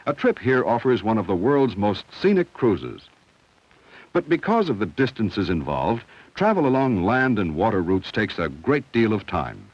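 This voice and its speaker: A man reading scripted narration for a 1970 educational film in a measured, instructional, clearly enunciated way. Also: fairly low pitch, very animated, no echo. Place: studio voice-over. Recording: dull, dry vintage film soundtrack.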